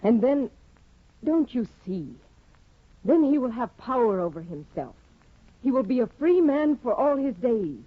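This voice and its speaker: An actress playing a proud, scheming young wife in a 1950s radio drama, with a husky, commanding, scornful delivery. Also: female voice, fairly high pitch, big pitch swings, a little slow, long pauses, heavily acted. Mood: rapt. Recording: dull lo-fi 1950 radio recording.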